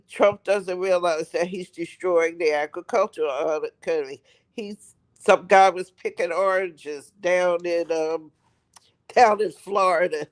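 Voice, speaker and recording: A man with a raspy voice from a head cold, rambling on a live stream and repeating himself for emphasis. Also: high-pitched. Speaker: livestream host. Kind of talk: casual live-stream commentary.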